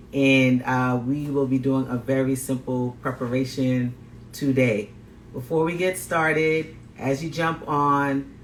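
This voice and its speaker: Upbeat male chef hosting a live cooking demo, mid-pitched voice, roomy recording. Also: fairly bright tone. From Guyana. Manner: upbeat, greets viewers, repeats phrases. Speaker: plant-based chef and health coach. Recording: roomy echo, faint background noise.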